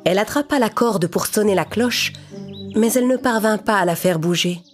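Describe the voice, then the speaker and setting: A woman's voice: mid-range voice, moderate pace, soft background music. A woman narrator reading a scripted children's fairy tale.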